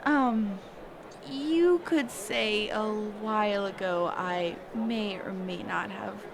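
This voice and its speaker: A woman voicing a reclusive, socially awkward mage teacher in a roleplay audio, speaking hesitantly and evasively with trailing pauses and hums. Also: medium pitch, noisy background. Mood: sheepish, evasive. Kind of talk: character roleplay audio, one side of a dialogue.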